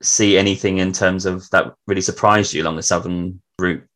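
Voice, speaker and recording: Low-voiced man hosting a podcast. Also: fairly even intonation, brisk, fairly bright tone. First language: English. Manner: chatty, often tacks tag questions onto remarks. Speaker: podcast host. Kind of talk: casual travel interview.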